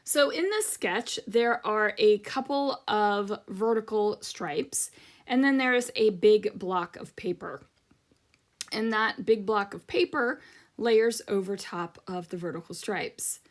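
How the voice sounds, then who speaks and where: A woman's voice: medium pitch, slow. A middle-aged woman hosting a scrapbooking channel, chatting casually and rambling with lots of ums, her voice a little worn from talking. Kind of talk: casual crafting chat over a process video.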